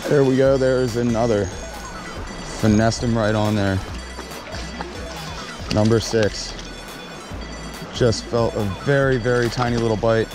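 A man's voice: medium pitch, steady tone, measured pace, pauses often, over music. A man giving chatty, upbeat running commentary to viewers while fishing by a creek outdoors. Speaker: fishing vlogger. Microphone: body-worn action camera.

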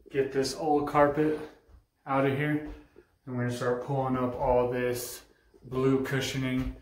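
An American man in his thirties casually talking through a DIY renovation, plain-spoken and halting as he thinks aloud. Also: medium pitch. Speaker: DIY vlogger. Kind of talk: casual hands-on renovation walkthrough. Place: small empty bedroom indoors. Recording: roomy echo, faint hiss and handling clicks.

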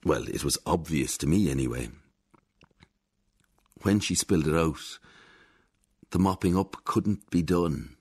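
Very deep, husky ex-husband. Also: male voice, unhurried, pauses often, heavily acted. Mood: rueful, defeated. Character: defeated Irish ex-husband, rueful, exasperated. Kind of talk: radio drama monologue.

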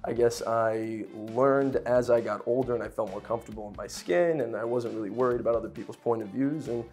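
A man's voice: mid-range voice, fairly quick, soft background music. Joking New man in a kitchen. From Poland, raised in Brooklyn. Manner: lively, joking, many restarts. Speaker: guest actor. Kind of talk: casual interview chat. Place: home kitchen, indoors. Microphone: lapel.

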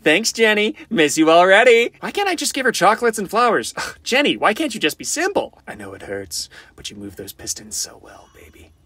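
A man's voice: sits high, huge pitch swings, a little fast, hardly any pauses, bright, forceful tone, no echo, voice pushed up, exaggerated voice. A man voicing a cocky, flirty, goofy wisecracking survivor for fan-made video game lines, in a playful, theatrical, quippy manner. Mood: flirty, teasing. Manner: playful, theatrical, quippy, often sing-song.

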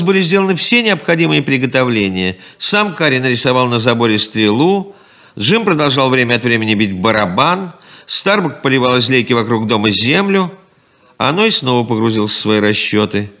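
Measured male radio play narrator. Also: mid-range voice, fairly bright tone. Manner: measured, literary delivery.

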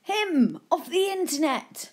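A woman's voice: extremely high pitch, slow, voice pushed up, full character voice. A woman voicing an eager, chatty old man, a folksy star-struck fan in a children's story. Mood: excited recognition. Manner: folksy, excitable.

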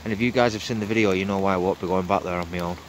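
A man's voice: sits low, steady tone, very fast, rough recording. A man gives a casual, hesitant running commentary as an exploring vlogger, thinking aloud as he walks outdoors with a handheld phone. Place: outdoors among derelict buildings in winter woodland. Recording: wind and outdoor noise on phone mic.

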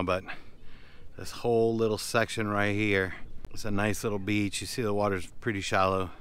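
Low, smooth-voiced man giving a walking tour. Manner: relaxed, conversational, addresses viewers directly. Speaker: fishing boat captain and vlogger. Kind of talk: casual walking tour narration. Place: outdoors on a small island.

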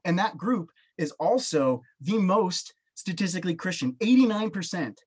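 Brief: Canadian man, 30s, emphatic testimony over a webcam, a bit higher-pitched than most men. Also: expressive, normal speed, slightly rough. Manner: earnest, emphatic, gestures with both hands. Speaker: panelist, professor. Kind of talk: personal testimony on a video call. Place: small home office with bookshelves. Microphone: webcam on a video call.

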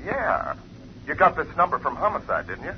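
A matter-of-fact cop, animated delivery. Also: male voice, a little high, normal speed. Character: brisk police lieutenant on the phone. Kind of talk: 1950s radio drama acting. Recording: muffled 1950 radio broadcast with faint hiss.

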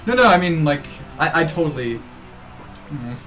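A man's voice: fairly high pitch, sing-song, normal speed. A young man streaming a game from a small room, chatting and giggling as he reads out chat messages. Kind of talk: casual live-stream chat. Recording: game music underneath.

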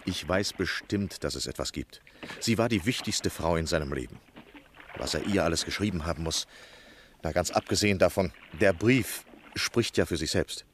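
An actor playing an eager, scheming literary gentleman, articulate and insistent, in a German radio drama. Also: sits low, normal speed, slight background noise, natural voice. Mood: eager, indignant. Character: eager, scheming American male literary biographer.

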